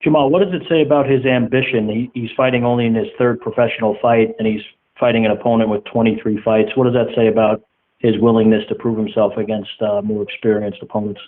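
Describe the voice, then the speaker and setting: A man's voice: fairly low pitch, little pitch movement, quick pace. Male sports reporter on a phone line. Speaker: sports reporter asking questions. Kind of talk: measured press questions. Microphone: phone on a conference call.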